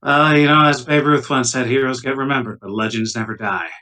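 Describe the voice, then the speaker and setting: A man with a bright, forceful voice sits some way from the microphone on a rough laptop recording. He tosses casual, sardonic, sweary wisecracks into a tabletop roleplaying session. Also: fairly even intonation, a little fast. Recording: distant mic, low-quality laptop audio.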